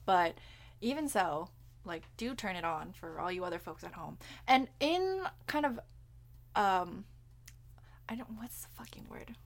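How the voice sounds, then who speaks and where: A woman's voice: fairly low pitch, very animated, a little slow, gravelly. A young woman with Cantonese as her first language, co-hosting a podcast in a chatty, giggly way and swearing casually. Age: young adult. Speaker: podcast co-host. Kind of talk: casual chat.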